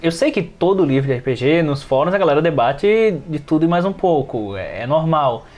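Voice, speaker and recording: A Brazilian man talking casually on a podcast. His voice sits slightly high, and he speaks hesitantly, doubling back on words as he makes his point. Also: animated, moderate pace, darkish tone. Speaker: podcast participant.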